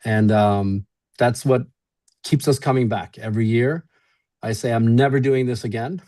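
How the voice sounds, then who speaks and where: A man from Sweden with no clear accent, talking in a relaxed, friendly way with many fillers on a webinar panel. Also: medium pitch, moderate pace. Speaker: event organizer.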